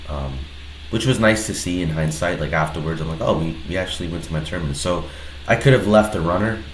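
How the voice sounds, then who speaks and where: Deep-voiced man with a stuffy head cold. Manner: relaxed, hesitant, many pauses and restarts. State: head cold, slightly nasal. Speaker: trader reviewing his own trade. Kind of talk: casual screen-recorded trade breakdown. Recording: roomy echo with faint background noise.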